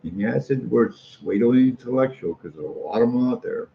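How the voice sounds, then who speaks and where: A man's voice: medium pitch, animated, fairly quick, creaky. A middle-aged American man in a casual, rambling monologue, speaking in a folksy, opinionated way and repeating phrases for emphasis. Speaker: street artist. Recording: muffled lo-fi audio with faint noise.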